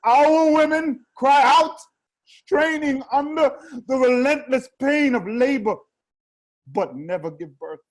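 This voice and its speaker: Very high-voiced, slow, pleading tragic chorus. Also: male voice, rough recording. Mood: fearful, pleading. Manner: slow, fervent declamation. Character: terrified, pleading Theban chorus praying to the gods. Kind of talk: incantatory dramatic reading of Greek tragedy.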